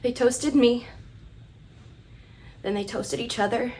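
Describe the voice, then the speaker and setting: Woman as a nervous, naive schoolgirl, slow. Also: a little high, fairly even intonation, a little mellow, a little hiss or hum. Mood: uneasy, confiding. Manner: hesitant, halting, confiding. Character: nervous, naive religious high school girl. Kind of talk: theatrical dramatic monologue.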